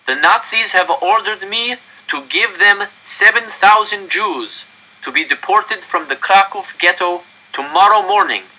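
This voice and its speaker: A man voicing a desperate male council director in a story, addressing an angry crowd with loud, pleading oratory. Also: high-pitched, unhurried, voice pushed up, exaggerated voice, poor audio. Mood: grave, insistent.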